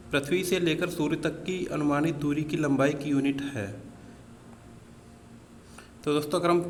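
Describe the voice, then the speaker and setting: A man teaching exam practice questions in an explanatory way, mixing in English technical terms and addressing listeners as friends. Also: on the high side. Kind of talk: practice question walkthrough lesson. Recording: reverberant room with background noise.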